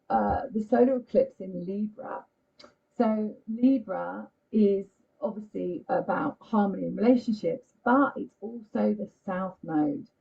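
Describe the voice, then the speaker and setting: A woman astrologer giving a casual, chatty talk on a live stream, croaky-voiced, often checking in with "okay?". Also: mid-range voice. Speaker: astrologer and healer. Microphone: computer mic on a video call. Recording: muffled lo-fi stream audio.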